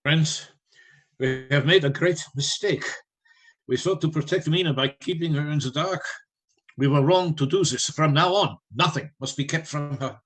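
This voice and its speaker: A man playing a learned, resolute vampire-hunting professor in a radio play, grave, measured and authoritative. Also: a little high, fairly bright tone, lightly acted.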